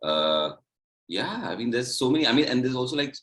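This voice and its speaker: A man's voice: medium pitch, very animated, a little fast, a bit bright. A man with an Indian accent chatting casually as a musician guest, rambling and leaning on filler "like". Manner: rambling, peppered with "like". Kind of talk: casual chat.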